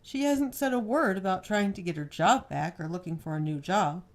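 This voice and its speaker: A woman's voice: on the low side, normal speed, natural voice. Earnest, worried young wife, read by a woman. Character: Renata, worried, playful young wife in pulp novel. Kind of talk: audiobook character dialogue.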